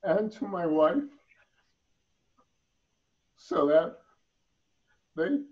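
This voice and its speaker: An older man raised in the Philippines, answering interview questions over a video call from his home, pausing and repeating words as he thinks. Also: a little high. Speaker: interview guest. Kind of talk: reflective online interview. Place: home room. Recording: muffled video call audio.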